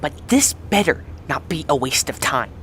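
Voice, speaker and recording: A woman's voice: deep voice, big pitch swings, normal speed, a bit bright. A woman playing a bossy, sarcastic young cartoon creature in an audio story. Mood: bossy, scornful. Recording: faint background noise.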